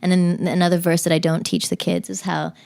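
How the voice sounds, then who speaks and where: A woman's voice: on the low side, level tone, brisk, creaky, darkish tone. A woman from the Cayman Islands, a podcast guest, talking in a chatty, casual way with many fillers and restarts. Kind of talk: casual interview chat.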